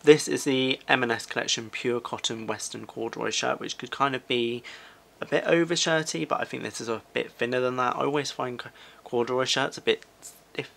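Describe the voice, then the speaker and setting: Bright-voiced young British man, slightly gravelly. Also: mid-range voice, fairly quick. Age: 20s. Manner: chatty, rambling with asides. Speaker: fashion vlogger. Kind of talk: casual shopping haul commentary. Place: small furnished living room, indoors.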